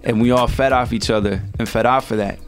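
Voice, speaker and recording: A man's voice: medium pitch, steady tone, fairly quick, soft background music. A man from El Salvador, a rapper guest, telling his story in a loose, slangy, laid-back way on a radio show. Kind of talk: casual radio interview.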